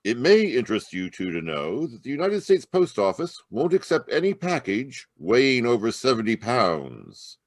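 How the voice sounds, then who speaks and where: Man acting as animated, shrewd police inspector. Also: mid-range voice, normal speed, few pauses, no room sound, studio quality. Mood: accusing. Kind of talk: old-time radio mystery acting. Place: live video call at home. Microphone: video call.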